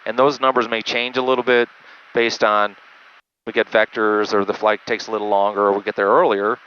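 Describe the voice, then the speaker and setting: Male pilot, low even voice, patiently methodical and halting as he explains procedures, dull headset sound. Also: clean tone. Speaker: jet pilot. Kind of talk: unscripted instructional walkthrough. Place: small jet cockpit in flight. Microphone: aviation headset through intercom. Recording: muffled band-limited cockpit headset audio, engine noise.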